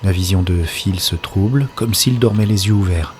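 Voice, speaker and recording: A man's voice: low-pitched, moderate pace, slight background noise, close to an everyday voice. An actor playing an anxious, impatient man searching for his friend in a French science-fiction audio drama. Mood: weary, tense narration. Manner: alternates urgent dialogue with hushed introspective narration. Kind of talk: French audio drama acting with first-person present-tense narration.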